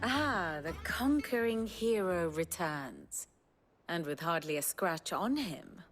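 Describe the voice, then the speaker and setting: A woman playing a composed, wry scholarly strategist in a video game, speaking in a measured, eloquent, theatrical way. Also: on the low side, animated. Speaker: strategist and lorekeeper. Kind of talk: dramatic video game acting, lecturing. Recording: music behind the voice.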